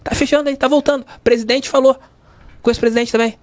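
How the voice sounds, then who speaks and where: Fast-talking, effusive man from Rio de Janeiro state. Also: extremely high pitch, steady tone, no room sound. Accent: Brazilian, from Rio de Janeiro state. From Brazil. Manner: effusive, emphatic, repeats phrases for emphasis. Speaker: sports journalist, interview guest. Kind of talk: casual storytelling in an interview. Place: podcast studio.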